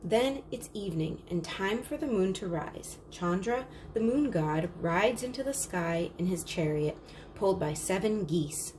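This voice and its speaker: A caring older-sister girl from a picture book, voiced by a woman. She is practical and explains things calmly, like someone telling a tale. Noise is audible behind her. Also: medium pitch, unhurried, natural voice. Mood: calm storytelling. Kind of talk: storybook character dialogue. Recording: clearly noisy background.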